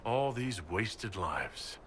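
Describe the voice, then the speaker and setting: Low-voiced, composed hero commander. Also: male voice, sing-song, extremely slow, noisy background, full character voice. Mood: regretful. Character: skeptical, composed male commander hero, dry and questioning. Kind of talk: fantasy game dialogue acting.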